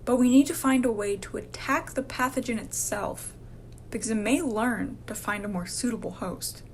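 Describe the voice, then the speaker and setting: A serious female disease researcher acted by a woman in a radio drama. She sounds firm and determined, with a fairly high voice over a slightly noisy recording. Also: normal speed, lightly acted. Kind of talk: amateur radio drama dialogue.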